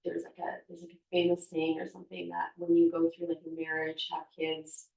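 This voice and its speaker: A woman in her thirties talks with a low, gravelly voice. She speaks softly and haltingly and often searches for her words. She sits off-mic in a reverberant room. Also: completely flat delivery, far from the mic. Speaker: podcast guest. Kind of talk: reflective personal conversation.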